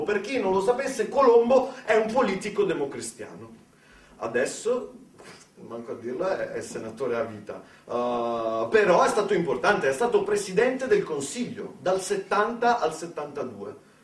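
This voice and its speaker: An Italian man performing a satirical theatre monologue in an ironic, colloquial way, full of rhetorical questions and asides. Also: a little high. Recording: echoey room, distant microphone.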